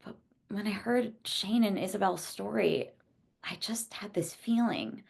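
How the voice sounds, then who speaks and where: A woman playing an earnest, hesitant young woman in a naturalistic screenplay table read, speaking haltingly. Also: medium pitch, vocal fry, darkish tone, slightly rough, exaggerated voice. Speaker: actor at a script table read.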